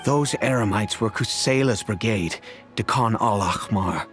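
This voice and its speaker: An actor playing an earnest middle-aged wealthy father in a video game, telling his story in measured, formal phrasing. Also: lowish voice, music in the background, lightly acted. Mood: earnest, reflective.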